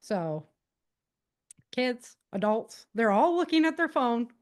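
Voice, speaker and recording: Female interview guest, slightly high voice, chatty and animated explainer. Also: takes it slowly. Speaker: guest author giving safety advice. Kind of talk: casual, conversational podcast interview.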